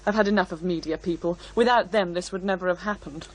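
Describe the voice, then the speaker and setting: An actor in an audio drama playing a bitter, guarded former witness, weary and defensive. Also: male voice, high voice, fast talker, close to an everyday voice, rough recording.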